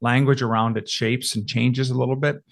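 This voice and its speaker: A man in his fifties hosting a podcast, asking questions in a reflective, halting way with frequent restarts. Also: medium pitch, level tone, creaky. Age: 50s. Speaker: podcast host. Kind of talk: casual interview conversation.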